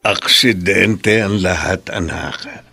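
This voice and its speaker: Slow, gravelly, weary father. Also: low-pitched, pushed and bright, no room sound, faint music behind, full character voice. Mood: tender, resigned. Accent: Filipino. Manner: worried, tender, weary. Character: ailing, gentle, forgiving hospitalised father. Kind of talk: Philippine radio drama acting.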